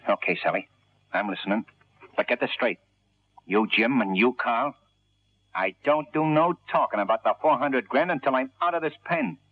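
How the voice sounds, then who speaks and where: An actor playing a suspicious, hot-tempered convict gangster, talking tough and slangy in an old radio crime drama. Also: male voice, medium pitch, normal speed, breathy edge, no room sound, natural voice. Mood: grudging, menacing. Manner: agitated, slangy, tough-guy talk. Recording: tinny, dry old radio broadcast.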